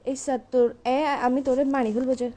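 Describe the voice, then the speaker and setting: High-pitched, fast-scolding young Bangladeshi woman. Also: flat delivery, mellow. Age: young adult. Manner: scolding, indignant, nagging. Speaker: girlfriend on a phone call. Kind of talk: casual comic phone argument.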